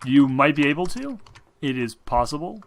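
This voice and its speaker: A man running a tabletop game, talking casually and haltingly with some swearing as he improvises the narration. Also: on the high side, very animated, gravelly, a crowd in the background. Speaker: game master. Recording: music behind the voice. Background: background music.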